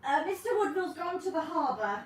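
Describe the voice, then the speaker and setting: A woman's voice: very high-pitched, lively intonation, fast, slight background noise. An actress playing a prying, sanctimonious, self-pitying Victorian landlady in a radio drama, with prim, theatrical British speech and false sympathy. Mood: prim, disapproving.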